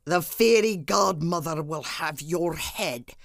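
A woman playing a gruff, blustering but nervous dwarf tunneler with a gravelly voice in an audio comedy. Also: sits low, slow, dry recording, studio quality, exaggerated voice. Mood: threatening. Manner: blustering, easily cowed. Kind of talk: audio comedy fantasy acting.

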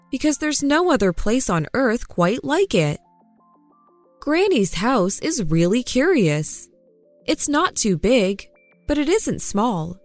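A woman reading a children's story aloud in the first person, in an expressive, unhurried way. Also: on the high side, dry recording.

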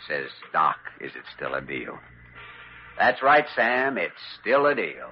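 An American actor playing an earnest, determined young hospital doctor in a classic radio drama. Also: male voice, sits low, sing-song, takes it slowly, heavily acted. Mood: grave, focused. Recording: dull, noisy old radio recording.

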